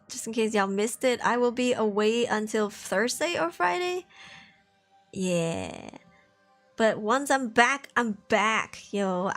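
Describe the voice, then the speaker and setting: A young woman from Malaysia chats with viewers on a live stream in a voice pitched a little high. She laughs often, and her pitch leaps when she gets excited. Faint music plays behind her. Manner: chatty, laughs often, lively jumps in pitch. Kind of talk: casual live-stream chat, reading donation messages. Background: faint background music.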